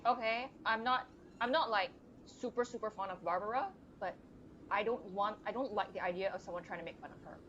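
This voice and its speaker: A woman's voice: mid-range voice, fairly quick, a bit bright, highs cut off, slight background noise. Blunt, rambling woman game streamer. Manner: blunt, rambling, mildly grumbling. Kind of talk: casual live game commentary. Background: game music.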